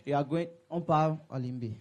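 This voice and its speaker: A man working as a church interpreter, repeating each phrase right after the preacher. He restarts and corrects himself often, and his voice is slightly high. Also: muffled recording. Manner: quick echoes with self-corrections. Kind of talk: live sermon interpretation.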